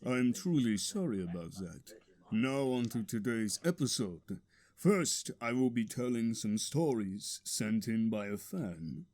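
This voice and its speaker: A man voicing a restrained, mock-sensual storytelling host in a soft, hushed tone. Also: on the low side, sing-song, unhurried, pitched below the performer's own voice, exaggerated voice. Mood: hushed, sultry. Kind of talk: mock-sensual podcast hosting.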